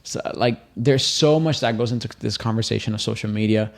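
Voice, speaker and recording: Emphatic man, animated. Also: fairly low pitch, creaky. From Puerto Rico. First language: Spanish. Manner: emphatic, animated, quick to laugh. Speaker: podcast co-host. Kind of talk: casual faith-based podcast chat.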